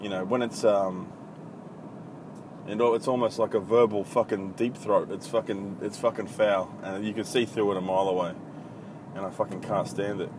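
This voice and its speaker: A man's voice: on the low side, steady tone, normal speed. A man in his thirties from country Victoria with an Australian accent, hosting a casual solo podcast in a blunt, profane, rambling way full of ums. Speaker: podcast host. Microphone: iPhone. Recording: noisy phone recording with traffic.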